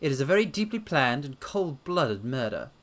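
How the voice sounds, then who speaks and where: A man voicing a confident, methodical detective in a dramatic reading, with a crisp, politely assured way of talking. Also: medium pitch, very animated, moderate pace, a bit bright.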